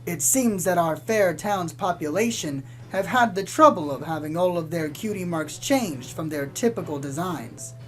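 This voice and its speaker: A woman playing a calm small-town pony radio host in an audio drama, reporting eerie doom in a measured, deadpan news style. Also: on the low side, faint music behind, lightly acted. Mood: deadpan, ominous. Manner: measured, deadpan about sinister things. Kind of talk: scripted spoof community radio news narration.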